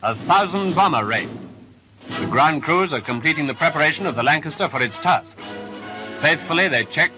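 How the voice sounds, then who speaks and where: A man's voice: fairly high pitch, lively intonation, normal speed, over music. A man narrating a wartime film in an emphatic, patriotic style, on a noisy restored 1940s film soundtrack. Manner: upbeat, emphatic, patriotic delivery.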